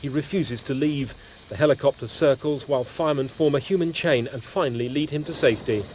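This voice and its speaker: A man's voice: medium pitch, a little fast, a little mellow, a little hiss or hum. A man with a British accent delivering scripted news narration as a television reporter.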